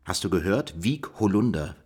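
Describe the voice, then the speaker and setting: An actor playing a pensive, erudite code-breaker in a radio play, musing and questioning in a husky voice. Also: male voice, low voice, sing-song, normal speed, darkish tone, exaggerated voice. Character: pensive male code-breaker puzzling over word riddles. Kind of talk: German radio play dialogue.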